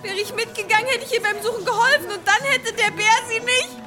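An actress playing a guilt-ridden, defiant young village daughter in a German audio drama, plaintive and emotional. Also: female voice, very high voice, steady tone, fast, music in the background. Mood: guilty, distraught.

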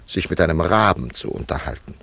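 Wry radio play sceptic: gravelly low voice, animated delivery, bemused and frank. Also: male voice, brisk, no room sound, exaggerated voice. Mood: teasing, musing. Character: wry, sceptical village man humouring an eccentric teacher. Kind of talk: German radio play dialogue.

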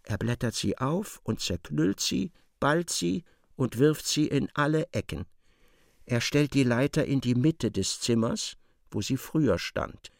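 An actor narrating a literary radio play as a grave, intense storyteller with deliberate delivery. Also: male voice, mid-range voice, animated, vocal fry, dry recording, studio quality.

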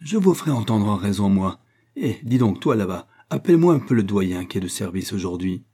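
A man voicing an indignant, overexcited gentleman with a huffy, outraged manner in a storybook reading. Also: fairly low pitch, very animated, fairly quick, slightly rough. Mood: indignant, threatening.

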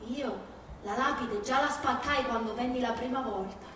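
A woman's voice: fairly high pitch, moderate pace, fairly bright tone, close to an everyday voice. A woman acting a fierce, grieving older Sicilian mother in an emphatic, plaintive stage monologue, with a Sicilian accent. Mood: bitter, scolding. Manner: emphatic, plaintive, repeating phrases. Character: fierce, grieving Sicilian mother disowning her criminal son. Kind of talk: dramatic theatrical monologue on stage. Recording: very echoey hall from far, faint noise.

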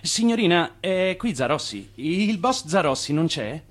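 A brash Italian immigrant on the make in a radio drama. His fairly high voice swings with animation, theatrical and insistent as he sells himself. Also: big pitch swings, measured pace. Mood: eager, pushy. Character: brash, ambitious Italian immigrant hustler, eager self-promoter. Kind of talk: radio play acting.

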